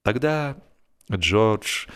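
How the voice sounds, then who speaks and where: A man's voice: lowish voice, lively intonation, extremely slow, a bit bright, smooth. Theatrical male narrator of comic prose. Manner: theatrical, mock-solemn. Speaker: audiobook narrator. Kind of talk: expressive comic literary narration.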